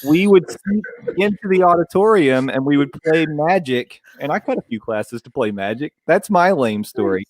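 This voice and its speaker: A young man from Tennessee with a gentle Southern accent, co-hosting a podcast in casual, self-mocking chat. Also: a little high, expressive, moderate pace, no echo, poor audio. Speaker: podcast co-host.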